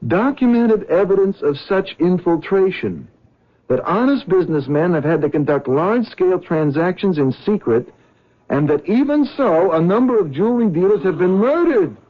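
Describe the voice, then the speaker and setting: A man's voice: high voice, lively intonation, moderate pace. A man playing an anxious cab driver in a 1970s radio drama, earnest and stammering when upset. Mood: reading. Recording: dull lo-fi old radio broadcast.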